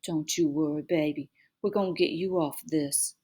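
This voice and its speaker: A woman's voice: low voice, steady tone, normal speed, mellow, breathy edge. A woman voicing a worried, doting mother in a storybook, dramatic and soothing, with folksy phrasing. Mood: tender. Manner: Southern-style talk when worried, soothing.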